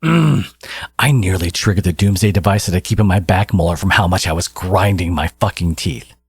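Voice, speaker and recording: A man playing a theatrical, possessive supervillain in a scripted audio roleplay, scornful and grandiose toward his archnemesis, with a husky voice. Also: sits low, normal speed, dry recording, clean studio sound, full character voice. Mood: angry, possessive. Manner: grandiose, exasperated, dramatic emphatic delivery.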